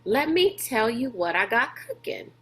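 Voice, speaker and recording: A woman's voice: fairly high pitch, sing-song, unhurried, fairly bright tone. A large, pushy man from a storybook, as acted out by a woman reader. He hollers in a folksy drawl and likes to boss people around. The delivery takes its time. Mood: sly, boastful. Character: large brash bossy man, folksy schemer.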